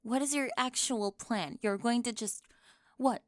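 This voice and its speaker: A woman acts out a teenage cheer captain with a high voice in an ASMR drama. She is bossy and indignant, interrogating in pompous formal terms while getting flustered and secretly jealous. The sound is dry and close. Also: a little slow, dry recording, lightly acted. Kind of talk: scripted ASMR roleplay acting.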